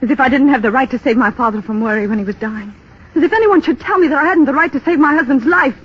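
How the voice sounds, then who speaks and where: An actress playing a proud, naive young wife in a 1930s radio drama, emphatic and indignant. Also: female voice, high-pitched, fast, husky. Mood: outraged. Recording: muffled 1930s radio broadcast.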